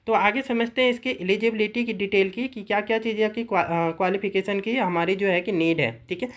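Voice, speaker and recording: A woman's voice: mid-range voice, brisk. A woman with an Indian accent presenting an informal, instructional explainer, often checking understanding with short tag phrases. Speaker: presenter of government job notifications. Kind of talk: informal explainer of a recruitment notice.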